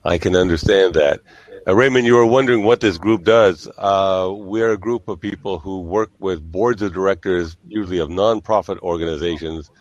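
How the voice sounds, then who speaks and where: A man hosting a casual online group chat, explaining things in a measured, halting way. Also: sits low, no room sound. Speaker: host of an online group discussion of consultants.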